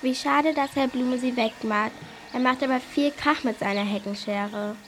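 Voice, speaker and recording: A woman's voice: sits high, normal speed, slight background noise, close to an everyday voice. A female performer playing a bold, practical schoolgirl sleuth in an amateur radio play for children. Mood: curious.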